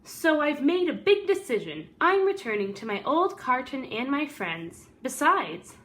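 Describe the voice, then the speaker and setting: A woman voicing an earnest, weary good egg who tells his own story in a deliberate, dramatic storybook delivery. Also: high-pitched, very animated, a bit bright, pitched above the performer's own voice, heavily acted. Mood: hopeful, resolved.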